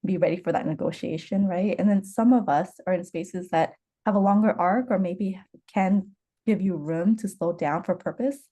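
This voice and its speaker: A woman's voice: fairly low pitch, normal speed, dark tone. A woman in a casual video-call discussion, talking thoughtfully and checking in with her listeners. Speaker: fellow in a group discussion.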